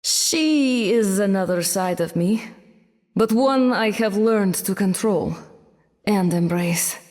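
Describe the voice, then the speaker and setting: An actress voicing a self-assured young demon sorceress in a video game, measured and haughty. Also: female voice, mid-range voice, lively intonation, darkish tone, no echo. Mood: cool, proud.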